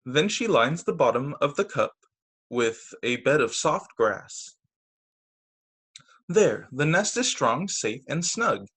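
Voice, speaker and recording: A man teaching young children through a read-aloud storytime on a video call, with expressive, deliberate phrasing. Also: a little high, breathy edge. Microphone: video call microphone.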